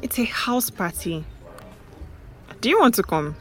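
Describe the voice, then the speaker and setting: A woman acting a sharp-tongued, easily embarrassed young Nigerian woman in natural audio drama dialogue. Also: medium pitch, sing-song, a little slow. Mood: teasing.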